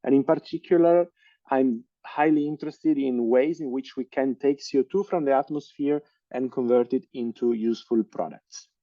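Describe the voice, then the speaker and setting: A man's voice: mid-range voice, moderate pace, dry recording, dull audio. A man in his thirties with an Italian accent, giving an online science lecture with many hesitant fillers and restarts. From Italy. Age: 30s.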